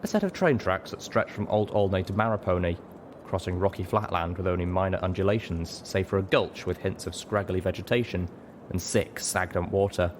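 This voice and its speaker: Low-voiced man narrating a story. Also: a little fast, slight background noise. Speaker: audiobook reader. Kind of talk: scripted first-person story narration.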